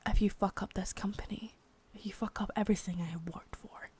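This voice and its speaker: A woman voicing a sadistic, menacing female boss in an ASMR roleplay, whispering softly with spoken threats between. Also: medium pitch, fairly even intonation, a little fast, slightly rough. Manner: taunting and threatening.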